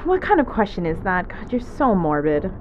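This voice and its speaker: A woman's voice: medium pitch, normal speed, dull audio, noisy background, lightly acted. An actress playing a casual, teasing young woman who works as a spaceship medic. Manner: chatty, animated storyteller, casual and teasing. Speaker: ship medic.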